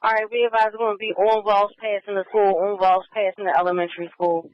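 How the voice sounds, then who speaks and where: A man's voice: high-pitched, little pitch movement, fast talker, dry recording. A man working as a police radio dispatcher, repeating locations and orders in clipped radio phrases. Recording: muffled scanner radio audio.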